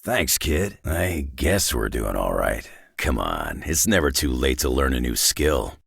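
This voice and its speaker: A man voicing a gruff, cynical, battle-worn mutant brawler in a video game, with a gravelly, husky growl and a terse, dry tough-guy drawl. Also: very deep voice, very animated, measured pace, fairly bright tone, no room sound, full character voice. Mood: wry. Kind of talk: video game character acting.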